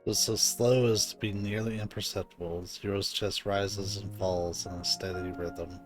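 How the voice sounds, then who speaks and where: A man's voice: low voice, fairly even intonation, normal speed. A man streaming a video game with informal, sweary live commentary, sometimes reading the dialogue aloud. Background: game music.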